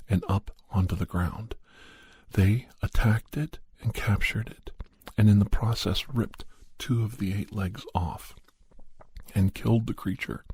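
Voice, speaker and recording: A man hosting an ASMR podcast, talking informally in a hushed, unhurried way close to the microphone, sometimes slipping toward a whisper. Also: lowish voice, slightly rough. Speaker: podcast host. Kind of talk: ASMR, rambling update and story recap.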